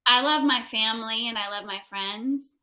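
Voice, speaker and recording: A woman's voice: on the high side, moderate pace, sharp tone. A young American woman tutoring English in a casual one-on-one conversation, phrasing things simply for a learner. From United States.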